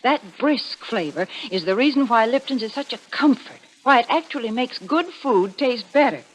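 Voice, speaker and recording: A woman acting as a prim, cheerful radio spokeswoman with a slightly high voice. She is chatty, emphatic and persuasive in an old-fashioned 1940s advertising style. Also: lively intonation, moderate pace, a little hiss or hum, lightly acted, low-quality recording. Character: prim, cheerful sponsor's woman who scolds the ghoulish host. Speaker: commercial announcer.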